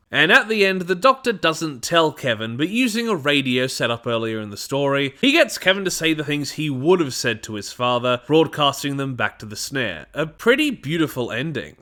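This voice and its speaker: Man, fairly high pitch, sharp bright tone, lively intonation, semi-scripted review narration. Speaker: audio drama reviewer.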